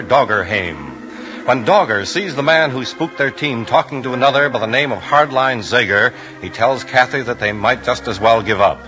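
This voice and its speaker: A man narrating a scripted old-time radio adventure serial. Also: medium pitch. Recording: dull old radio recording. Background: music.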